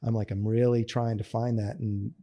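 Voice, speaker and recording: A man's voice: mid-range voice, flat delivery, normal speed, warm tone. A man being interviewed as a guest, talking eagerly and chattily, often restarting his sentences and laughing between thoughts. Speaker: guest actor. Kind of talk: casual conversational interview.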